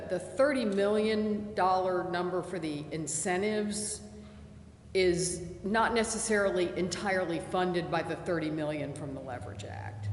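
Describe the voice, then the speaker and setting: A woman's voice: lowish voice, slight background noise. Woman consultant in an echoey chamber. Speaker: city consultant. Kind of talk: public meeting explanation. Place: city council chamber. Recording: echoey council chamber from far off.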